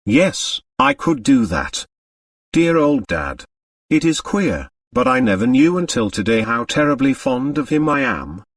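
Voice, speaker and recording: A man's voice: medium pitch, wildly swooping pitch, unhurried, no echo, clean studio sound, recorded from a distance, exaggerated voice. A man voicing a distraught, wealthy young married woman in an audiobook, agitated and confessional. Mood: relieved, embarrassed.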